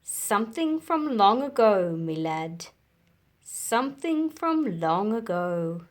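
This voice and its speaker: A woman's voice: medium pitch, big pitch swings, takes it slowly. A woman voicing kindly elderly neighbours in a children's storybook, tender and reflective. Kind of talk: children's storybook character voices.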